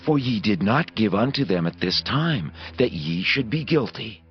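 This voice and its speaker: Male actor as ancient elder giving counsel, deepish, very varied intonation, emphatic biblical drama, dull audio. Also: big pitch swings, normal speed, slightly rough, full character voice. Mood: conspiratorial counsel. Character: scheming Israelite elder giving instructions. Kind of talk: dramatized scripture acting. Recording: dull lo-fi audio, faint music.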